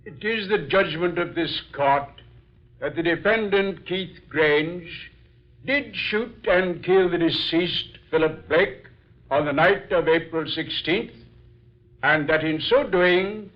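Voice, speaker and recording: An actor playing a solemn court judge, making a formal, measured proclamation in a 1940s radio drama. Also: male voice, on the high side. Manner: measured, official. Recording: muffled vintage 1940s radio recording.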